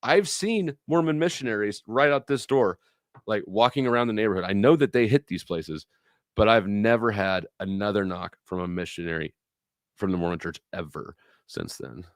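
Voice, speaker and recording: A man with a fairly low voice talks casually as a livestream host. He rambles in a blunt, salty, anecdotal way, and the sound is dry and close with little room in it. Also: normal speed, no room sound. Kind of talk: casual livestream chat with viewers.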